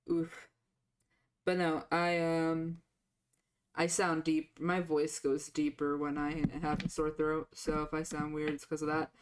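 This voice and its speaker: A woman streamer chatting casually and rambling with filler restarts, her voice deepened by a sore throat. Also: clear, resonant tone. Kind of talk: casual live-stream chat.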